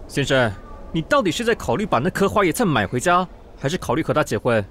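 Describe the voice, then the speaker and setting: A man's voice: a little high, sing-song, fast talker, fairly bright tone. An actor playing a handsome, blunt and impatient male model type in radio drama dialogue. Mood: impatient, sarcastic.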